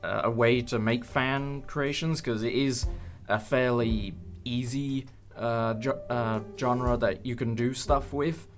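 A young man chatting casually in an interview, hesitant and rambling with many pauses. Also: medium pitch, fairly even intonation. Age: young adult. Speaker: creator of a fan audio drama. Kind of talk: casual behind-the-scenes interview. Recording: light music bed under speech. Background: background music with guitar.

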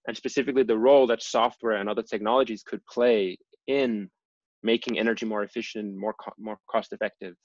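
A man in his thirties from Denmark giving a casual, self-deprecating talk over a video call, often pausing with ums. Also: lowish voice, steady tone, no room sound. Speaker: guest presenter, engineering professor. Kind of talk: casual lecture. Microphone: computer mic on a video call. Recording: dull, lo-fi video call audio.

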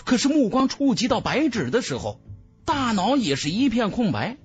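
A man's voice: extremely high pitch, big pitch swings, moderate pace, slightly rough. A man reading a fantasy novel aloud as dramatic scripted narration. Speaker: audiobook narrator. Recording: music under the voice.

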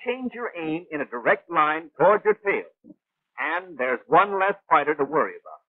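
A high-voiced, exacting male instructor in an old wartime training cartoon. He lectures in precise, clipped phrases with a very lively rise and fall. The sound is a thin, band-limited film soundtrack. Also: normal speed, no echo, narrow, tinny audio. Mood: instructive. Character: brisk, know-it-all male gunnery instructor, patient but exacting. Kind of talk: 1940s animated training film narration.

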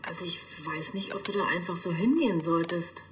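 An actress playing a concerned police station colleague with a soft, hesitant manner. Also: female voice, fairly low pitch, fairly quick, reverberant, background noise, lightly acted.

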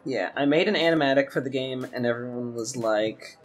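A young man in casual podcast chat as a co-host, talking in a halting way and often rephrasing as he goes. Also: medium pitch. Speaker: podcast co-host, game designer. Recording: music behind the voice. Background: background music.

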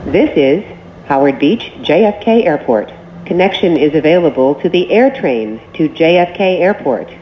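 A woman reading scripted transit announcements as a train announcer, in short, measured, formal phrases. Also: deep voice, animated. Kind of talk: scripted automated station announcements. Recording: muffled recording with train noise.

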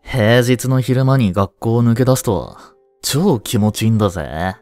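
A man acting a cheeky, laid-back high-school slacker in a romantic audio drama, with casual, teasing, blunt slang. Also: lively intonation, unhurried, darkish tone, no echo, soft background music. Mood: earnest, encouraging.

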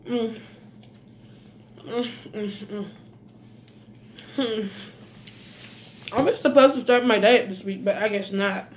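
A woman's voice: medium pitch, steady tone, takes it slowly, pauses often, a little hiss or hum. A woman in her thirties from Belize with a slight Belizean accent, chatting casually in an eating vlog at home, savoring food with frequent mm's. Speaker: mukbang vlogger. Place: small indoor room at home.

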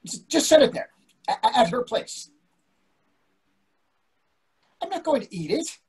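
A man's voice: extremely high pitch, very animated, fairly quick, husky, heavily acted. A man in his sixties playing an anxious, devoted, fussy husband in a play reading over a video call, earnest and questioning. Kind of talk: readers theatre play reading.

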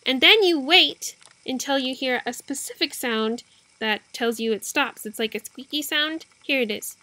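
A woman's voice: high-pitched, normal speed, pushed and bright, slight background noise, poor audio. A young woman chatting casually and informally to viewers as she hosts a how-to video, in a giggly, rambling manner. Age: young adult. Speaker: YouTube host, cassette collector. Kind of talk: casual tutorial chat to viewers. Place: small bedroom, indoors.

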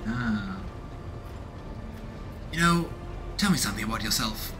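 A man's voice: fairly low pitch, lively intonation, unhurried, music in the background. A man in his thirties giving casual, curious game commentary and reading game text aloud, musing as he goes. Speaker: let's play streamer. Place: indoors, small room at home.

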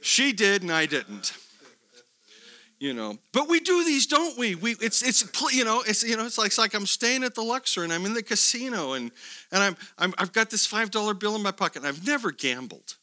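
A middle-aged man lectures a seminar group in a bright, forceful voice pitched a little high. He keeps putting questions to his listeners, sinks to murmured asides, then rises sharply for emphasis. The sound is dry and close. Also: fairly quick, no room sound. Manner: animated, asks the audience questions, swings from quiet asides to loud emphasis. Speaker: theology teacher.

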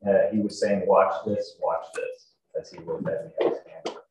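A man's voice: on the low side, fairly even intonation, unhurried, darkish tone. A young man testifying as a police officer in court, formal, terse and matter-of-fact in procedural phrasing. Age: young adult. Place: courtroom, heard over a video call. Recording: distant, echoey, faintly noisy courtroom video call.

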